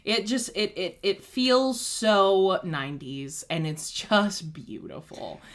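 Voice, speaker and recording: A woman's voice: medium pitch, lively intonation, slow pace. A young woman co-hosting a casual chat, rambling enthusiastically with lots of "like". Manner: gushing, rambling, heavy use of "like". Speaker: co-host.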